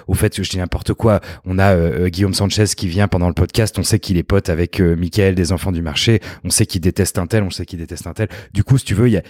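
A man's voice: deep voice, a little mellow, no echo, clean studio sound. A man podcaster speaking enthusiastically and casually, with many restarts and fillers. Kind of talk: casual interview answer.

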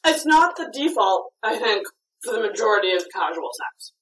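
A woman with a loud voice, talking casually in blunt, sweary rants among a group. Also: medium pitch, normal speed. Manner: blunt, animated, swearing freely. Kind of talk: casual ranting banter. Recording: roomy, distant mic, faint background noise.

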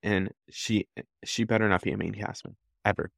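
A woman's voice: extremely low pitch, little pitch movement, a little fast, vocal fry, no room sound, studio quality. A woman co-hosting a podcast, chatting casually with blunt, sarcastic quips. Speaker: podcast co-host. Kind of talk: casual chat.

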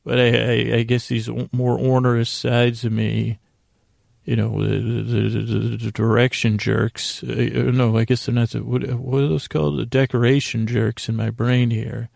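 Very gravelly, meandering man podcaster. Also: medium pitch, level tone, darkish tone, no echo, clean studio sound. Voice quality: gravelly, creaky. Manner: meandering, halting, stammering with repeated words; self-deprecating. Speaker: podcast host. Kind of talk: rambling bedtime podcast monologue.

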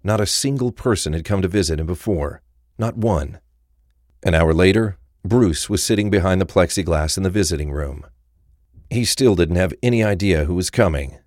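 A man's voice: low-pitched, normal speed, darkish tone, dry recording, studio quality. A man reading scripted narration for a crime thriller audio drama.

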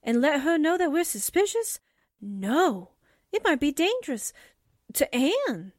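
Woman acting a high, animated girl secretary, 1940s radio. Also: a little slow, dry recording, studio quality. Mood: urgent. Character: plucky, suspicious young female newspaper secretary.

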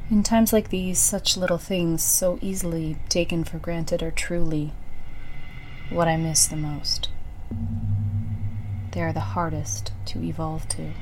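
A woman playing a wistful, solemn survivor of a post-apocalyptic world in a halting, reflective audio drama monologue. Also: sits low, steady tone, background noise.

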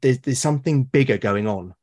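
A man with a British accent, a podcast guest talking casually and at length, often pausing to rephrase his thoughts. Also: mid-range voice, a little mellow. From Britain. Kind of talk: casual conversation about solo roleplaying.

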